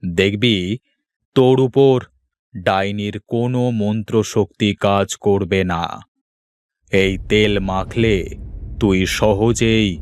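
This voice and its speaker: A man voicing a kindly old tantric hermit in a cartoon story, solemn, knowing and measured. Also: fairly low pitch, steady tone, darkish tone, clear, resonant tone, no echo, clean studio sound. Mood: encouraging. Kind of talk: Bengali cartoon story dub, dramatic.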